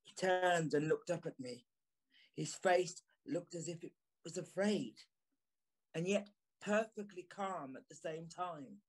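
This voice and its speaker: A man's voice: on the high side, measured pace, low-quality recording. A man playing a haunted, remorseful journalist, narrating an audio play with brooding, theatrical phrasing. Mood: hushed, uneasy recollection. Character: haunted, remorseful journalist recalling an eerie encounter. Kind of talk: dramatic first-person audio play narration.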